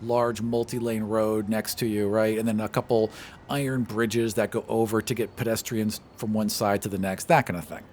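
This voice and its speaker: A man's voice: lowish voice, level tone, normal speed, darkish tone. A man improvising scenes as game master of a tabletop fantasy game, in a conversational, descriptive way. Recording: clearly noisy recording.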